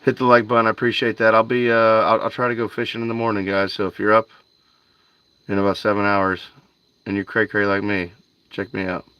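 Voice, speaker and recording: A man with a fairly low voice hosts a fishing livestream late at night. He talks in a relaxed, rambling way, reads out viewers' names and says "dude" often. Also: fairly quick, clean tone, no room sound, lo-fi sound. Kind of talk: casual livestream chat with viewers. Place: outdoors by a canal at night.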